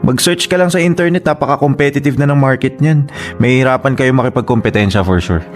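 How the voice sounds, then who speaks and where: A male actor plays a dismissive Filipino husband in a radio drama. He is mid-pitched, offhand and condescending, always doubting, with faint music behind him. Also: fast talker, close to an everyday voice. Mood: skeptical, dismissive.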